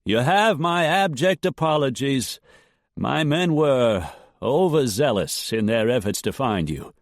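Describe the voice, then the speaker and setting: A man voicing a calm, carefully controlled older gentleman with a Boston accent who speaks in unctuous tones. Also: mid-range voice, measured pace, no room sound, studio quality, pitched above the performer's own voice. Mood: smooth.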